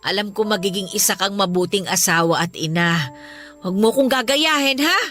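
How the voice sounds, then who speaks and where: A woman's voice: mid-range voice, moderate pace, a bit bright, soft background music, natural voice. A woman with a Filipino accent playing an elderly, remorseful and affectionate mother-in-law in a radio drama. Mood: tearful, tender. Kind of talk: Tagalog radio drama acting.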